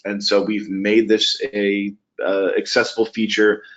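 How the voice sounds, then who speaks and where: A man presenting a software webinar walkthrough in a conversational, halting way with frequent pauses. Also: lowish voice, little pitch movement. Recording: dull, lo-fi webinar audio.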